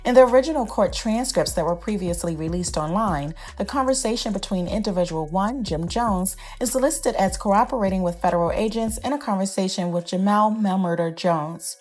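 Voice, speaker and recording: A woman's voice: mid-range voice, moderate pace, few pauses, a little mellow. A woman reading a scripted news report.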